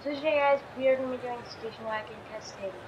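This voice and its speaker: A woman's voice: a little high, fast, muffled recording. A tired woman with a scratchy voice chatting casually through a racing game session. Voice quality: scratchy morning voice. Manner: chatty, thinks aloud, counts down launches. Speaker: gaming channel host. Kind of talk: casual gameplay commentary. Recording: loud car engine game audio behind. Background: racing game engine noise.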